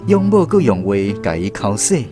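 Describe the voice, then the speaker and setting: A man's voice: lowish voice, big pitch swings, moderate pace. A man with a Taiwanese accent narrating a radio drama as a storyteller. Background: music.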